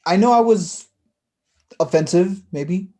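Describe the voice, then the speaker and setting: A woman's voice: fairly low pitch, expressive, slow pace, dark tone. A woman playing a wounded, defensive, self-blaming younger brother in a naturalistic staged play reading. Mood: sheepish, surprised.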